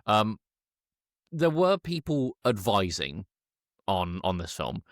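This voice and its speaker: A man's voice: fairly low pitch, sing-song, slow pace, no echo, clean studio sound. A British man chatting casually as a podcast co-host, wry and blunt, hedging as he goes. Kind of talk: casual chat.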